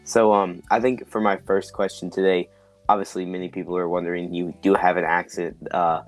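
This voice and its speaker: A man's voice: low voice, flat delivery, fairly quick, soft background music. A man hosting a podcast interview, upbeat and encouraging, often repeating "awesome" and "exactly". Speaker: podcast host.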